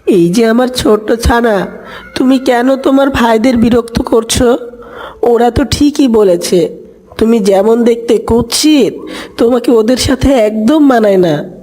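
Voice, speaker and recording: A woman voicing a fussy mother duck in an animated fairy tale. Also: a little high, a little slow, soft background music. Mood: scolding. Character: mother duck, fond of pretty chicks, dismissive.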